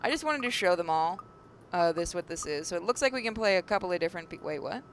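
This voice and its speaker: A gaming host with a creaky, mid-pitched voice reads visual novel text aloud and chats about it. Words occasionally trip and get repeated. The recording carries a noticeable rumbling noise. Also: lively intonation, fast talker. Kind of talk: reading narration aloud, with casual commentary. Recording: clearly noisy mic with low rumble.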